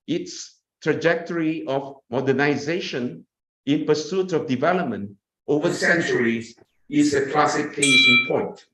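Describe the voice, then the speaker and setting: Formal man reading a welcome address. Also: on the high side. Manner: measured, oratorical. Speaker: conference speaker giving welcome address. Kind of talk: formal read speech. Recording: echoey, dull webinar audio.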